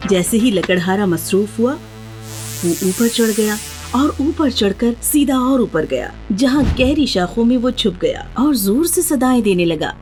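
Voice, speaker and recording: A woman tells a scripted children's fairy tale. Her voice is fairly high, with lively rises and falls, and music plays softly behind the narration. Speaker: storyteller, narrator.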